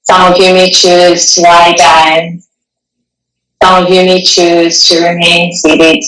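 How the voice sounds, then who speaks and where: A woman guiding a meditation in a gentle, soothing, unhurried way, with long pauses between phrases. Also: lowish voice, level tone. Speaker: meditation guide. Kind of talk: guided meditation, partly reading a passage. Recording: echoey room, distant microphone.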